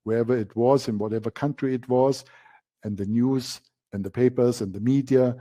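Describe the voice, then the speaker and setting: Male coaching instructor, German accent, soft-edged mellow tone, mid pitch, emphatic masterclass delivery that leans on repetition. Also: fairly even intonation, normal speed. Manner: emphatic, repeats key words for stress. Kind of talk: masterclass presentation.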